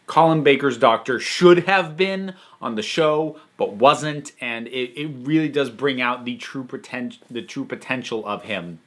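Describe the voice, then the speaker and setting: A man's voice: mid-range voice, a little slow, hardly any pauses, fairly bright tone. A man hosting a casual review monologue, talking in an enthusiastic, emphatic, conversational way. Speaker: host and reviewer.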